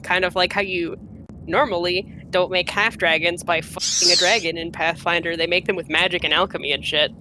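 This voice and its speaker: A woman's voice: fairly low pitch, steady tone, a little fast, noisy background. A woman hosting a game playthrough with casual, joking, sweary commentary. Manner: irreverent, sweary. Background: game music.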